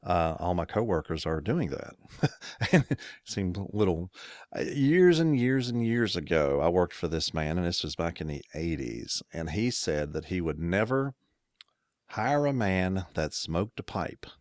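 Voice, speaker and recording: A middle-aged man from the United States with a very low, deep voice, talking in a loose, rambling way and often correcting himself mid-sentence. He sounds close to the mic, dry and free of room echo. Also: sing-song, a little slow, a little mellow. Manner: rambling, self-correcting storyteller with chuckles. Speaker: podcast co-host. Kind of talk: casual chat.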